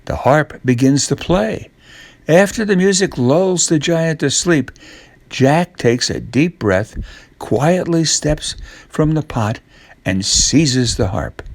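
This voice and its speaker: A man's voice: on the high side, lively intonation, slow pace, no room sound. An older man reading a children's story as a scripted narration.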